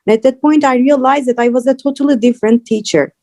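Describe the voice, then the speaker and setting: Woman, quick and mellow, earnest question over a video call. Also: high voice. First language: Turkish. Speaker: audience member asking a question. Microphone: video call, camera off.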